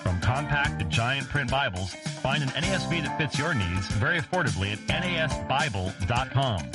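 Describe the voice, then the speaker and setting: A man's voice: medium pitch, very animated, fairly quick, loud music behind the voice. A man reading a scripted radio advertisement in an upbeat, promotional manner. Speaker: ad announcer.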